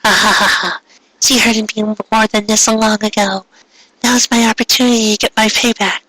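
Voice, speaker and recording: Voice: medium pitch, little pitch movement, normal speed, bright, forceful tone, dry recording, pitched above the performer's own voice, rough recording. A man voicing a vengeful teenage girl villain in a melodramatic, bitter rant. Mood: gloating.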